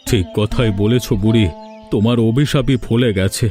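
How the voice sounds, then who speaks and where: An actor playing a rich, proud village landlord who turns humble, in a moral cartoon dub. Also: male voice, mid-range voice, normal speed, a little mellow, lightly acted. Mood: humbled, earnest. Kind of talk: Bengali moral cartoon dubbing. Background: background music.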